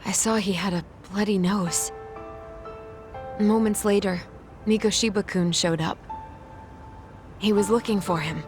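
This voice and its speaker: A woman's voice: mid-range voice, steady tone, unhurried, pauses often, close to an everyday voice. Woman acting a guarded, guilt-ridden schoolteacher over music. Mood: somber, confessional. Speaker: English teacher. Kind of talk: dramatic game cutscene acting. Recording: dramatic music behind.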